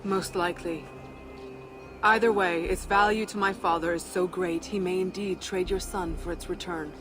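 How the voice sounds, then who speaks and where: A woman's voice: on the low side, fairly even intonation, moderate pace, music in the background, lightly acted. A woman playing a proud, sardonic fire nymph in a video game cutscene, with a haughty, formal way of talking. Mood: serious.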